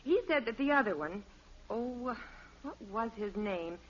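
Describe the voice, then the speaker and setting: An actress playing a patient, gently chiding middle-aged American wife and mother in a 1950s radio sitcom. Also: fairly high pitch, animated, moderate pace, a little hiss or hum, close to an everyday voice. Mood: chatty. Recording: dull old radio recording.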